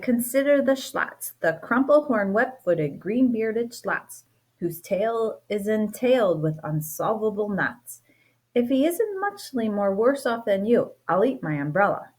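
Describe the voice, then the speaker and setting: A teacher reading a rhyming children's book aloud, playful and expressive, with a sing-song lilt. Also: female voice, medium pitch, breathy edge. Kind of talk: storybook read-aloud.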